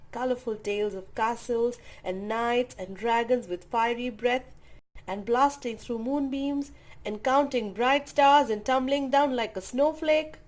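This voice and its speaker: A woman storyteller reading a children's picture book aloud with expressive, drawn-out emphasis. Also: a little high, rough recording.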